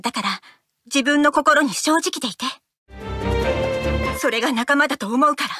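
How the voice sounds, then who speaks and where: Woman as an earnest, high-voiced teenage girl. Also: moderate pace, natural voice. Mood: earnest, determined. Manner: earnest, emphatic. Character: strong-willed, caring teenage girl friend of duelist. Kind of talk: video game character voice clips. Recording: game music behind.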